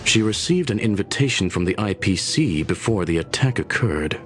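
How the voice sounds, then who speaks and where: An actor playing a formal, analytical and patient male advisor in a video game, with clipped, deliberate phrasing. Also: lowish voice, expressive, music in the background, close to an everyday voice. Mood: matter-of-fact. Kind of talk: video game cutscene voice acting.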